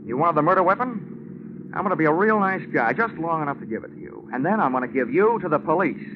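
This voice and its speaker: Actor as wisecracking American gumshoe, muffled old radio. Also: male voice, fairly high pitch, animated, quick pace, noisy background, close to an everyday voice. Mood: sardonic. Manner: wry, slangy, terse. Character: wisecracking, hard-boiled male insurance investigator. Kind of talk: old-time radio detective drama acting and narration. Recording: muffled vintage radio recording.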